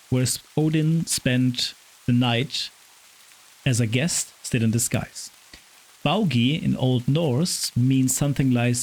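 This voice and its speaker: A man with a raspy voice hosting a podcast, retelling myths in a chatty way, stumbling over hard names. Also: mid-range voice, darkish tone, poor audio. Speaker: podcast host. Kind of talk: casual storytelling of Norse myth.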